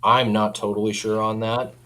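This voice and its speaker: A man speaking as a company representative in a casual product chat, informal and hedging, quick to chuckle. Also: on the low side, level tone, bright, forceful tone, poor audio.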